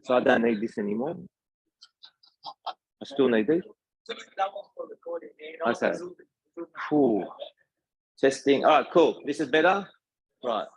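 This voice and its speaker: Aussie guy doing a casual tech talk, slightly rough voice, mid pitch, asks the crowd stuff. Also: lively intonation, slow, long pauses, dry recording, poor audio. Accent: Australian. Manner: conversational, addresses the audience with questions and tag "right?". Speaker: tech company presenter. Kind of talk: informal live technical talk at a meetup.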